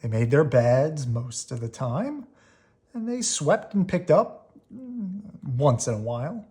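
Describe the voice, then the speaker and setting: A storybook reader giving an expressive children's read-aloud, leaning on key words with drawn-out emphasis. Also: medium pitch.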